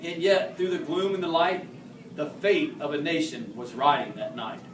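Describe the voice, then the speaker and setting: A man's voice: a little high, moderate pace. A man reciting a narrative poem as an amateur reader, occasionally stumbling over harder words and correcting himself. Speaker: amateur reader in the role of a historical horseman. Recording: roomy, distant mic, faint background noise.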